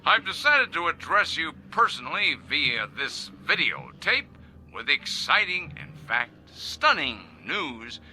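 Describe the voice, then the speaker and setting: Radio drama role: smug corporate chief, very forceful and bright tone, higher-pitched, showman's pomp, sells automation to shareholders. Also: big pitch swings, a little hiss or hum, full character voice, poor audio. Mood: boastful, upbeat. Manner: pompous, showy salesman's delivery with grand pauses. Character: pompous, self-satisfied male factory boss, zealous for automation. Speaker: company head addressing shareholders. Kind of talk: radio drama acting as a corporate video presentation.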